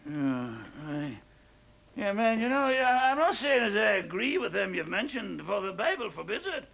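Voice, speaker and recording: Sloshed irish braggart, gravelly and husky, laughs a lot, brags and shouts. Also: male voice, high-pitched, big pitch swings, normal speed, heavily acted. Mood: maudlin confiding. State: drunk. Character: drunken boastful Irish lodger, middle-aged man.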